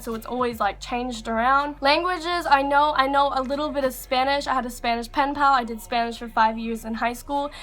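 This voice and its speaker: A young woman talks to her camera in a bright, high voice, relaxed and full of likes and fillers. Her accent is international English, mostly American with a slight Australian tinge. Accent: third culture kid English, mostly American with some Australian. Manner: casual and chatty, with fillers and likes. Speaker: vlogger. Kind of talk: sit-down chat to camera.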